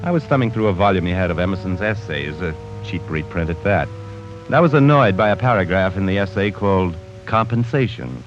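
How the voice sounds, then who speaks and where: A man's voice: sits low, expressive, fairly quick, faint music behind. An actor playing an arrogant, bookish man who scorns sentiment, in a 1940s radio drama. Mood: smug. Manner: haughty, condescending. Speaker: narrator reading his journal. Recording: muffled 1940s radio broadcast.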